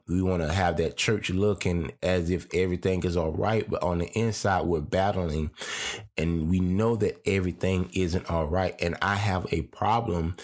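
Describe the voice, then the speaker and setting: A man hosting his podcast, congested and a little stuffy from his sinuses, talking in a loose, rambling way with lots of fillers and restarts. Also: deep voice. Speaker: podcast host; preacher. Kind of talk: casual podcast monologue.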